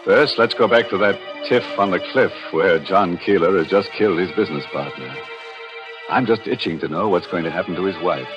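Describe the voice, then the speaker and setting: A man's voice: deep voice, a little fast. A man hosting a 1940s radio horror show in a tongue-in-cheek, mock-ghoulish manner. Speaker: show host. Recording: dull old radio recording, music behind.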